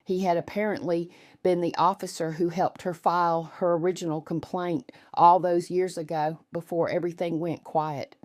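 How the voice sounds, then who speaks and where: Female story narrator, low register, dry close sound, scripted first-person delivery. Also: little pitch movement, moderate pace, hardly any pauses, a little mellow, dry recording.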